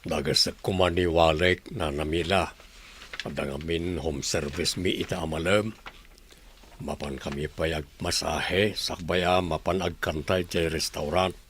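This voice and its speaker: Man acting a bright, forceful young schemer, Ilocano accent. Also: low voice, steady tone, a little slow, a little hiss or hum. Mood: concerned. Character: scheming young man, Walek's friend, urgent and insistent. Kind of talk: Ilocano audio drama acting.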